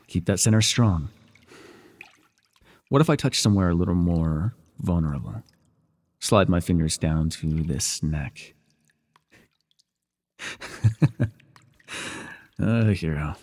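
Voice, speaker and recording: Breathy, low-voiced teasing villain mentor played by a man. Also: animated, slow, long pauses, heavily acted. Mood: teasing, intimate. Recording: reverberant indoor pool echo.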